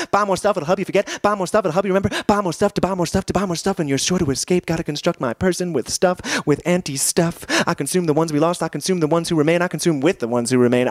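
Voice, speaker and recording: Rapid-fire male slam poet, dry sound. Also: a little high, no room sound. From New York. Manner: chanting, repetitive, emphatic list-like delivery. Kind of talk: slam poetry performance.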